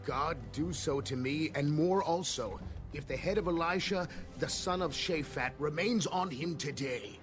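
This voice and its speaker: A man's voice: fairly high pitch, unhurried, few pauses, music in the background, close to an everyday voice. An actor playing a vehement, despairing king in an audio Bible drama. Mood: wrathful. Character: angry, despairing king of a besieged city.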